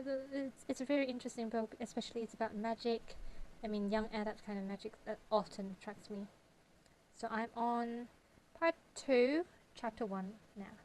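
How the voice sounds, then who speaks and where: Young woman with a cantonese accent vlogging about her writing, kinda high voice, faint room hiss. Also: darkish tone, rough recording. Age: young adult. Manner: thinks aloud, sometimes drops to a whisper. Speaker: vlogger and novelist. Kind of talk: casual vlog, reading aloud.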